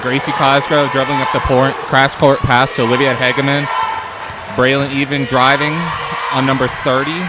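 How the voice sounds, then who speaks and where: A young student man doing live play-by-play of a basketball game in fragmented calls, often raising his voice toward a shout. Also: medium pitch, little pitch movement, normal speed. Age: teenager or young adult student. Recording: very loud gym crowd and noise. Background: loud crowd and bouncing basketballs.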